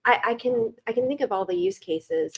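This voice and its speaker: Woman, podcast host, fairly high voice, upbeat, encouraging. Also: brisk, husky, lo-fi sound. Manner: upbeat, encouraging, enthusiastic reactions. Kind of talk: conversational interview. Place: conference venue.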